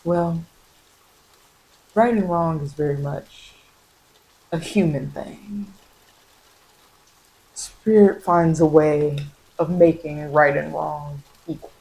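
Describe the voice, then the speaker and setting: An American woman giving soft-spoken ASMR spiritual guidance as a tarot reader, meandering and repeating phrases to reassure. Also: low-pitched, steady tone, slow, pauses often, low-quality recording.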